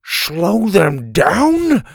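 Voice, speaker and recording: Flustered elderly grandpa as put on by a male narrator, storybook adventure, exclamatory, raised pitch, swooping. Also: wildly swooping pitch, extremely slow, creaky, dry recording, studio quality, exaggerated voice. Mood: panicked. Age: older.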